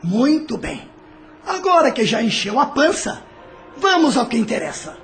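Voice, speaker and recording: Um ator interpretando um espírito maligno dominador, debochado e manipulador em uma novela de áudio. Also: male voice, extremely high pitch, sing-song, a little slow, fairly bright tone, exaggerated voice. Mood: sly mocking. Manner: mocking, manipulative, theatrical. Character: domineering, scheming male evil spirit, sly and vengeful. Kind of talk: audio drama acting.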